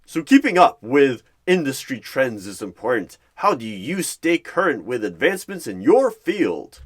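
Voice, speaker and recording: Man putting on an over-earnest recruiter, lively pitch. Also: measured pace, husky. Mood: earnest, businesslike. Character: nervous, defensive, over-earnest male corporate recruiter interviewing.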